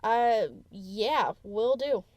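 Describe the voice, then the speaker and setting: A woman's voice: on the high side, extremely slow, a little mellow, no room sound. A woman voicing a brash, teasing young female pony DJ in a fan audio story, with casual, slangy delivery. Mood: offhand. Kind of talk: acted fan fiction audio drama.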